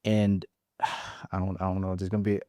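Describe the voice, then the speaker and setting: A man's voice: low voice, level tone, normal speed, mellow, no room sound, clean studio sound. A man from Hawaii in his forties, a podcast guest frankly telling his story and often restarting his words. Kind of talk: casual interview storytelling.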